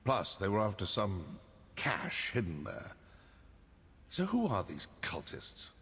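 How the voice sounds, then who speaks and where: An actor playing a suave, vengeful assassin in a video game, speaking in a measured, deliberate way. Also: male voice, on the low side, huge pitch swings, fairly bright tone, slightly rough, exaggerated voice. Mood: suspicious. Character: suave, vengeful male assassin hunting a cult.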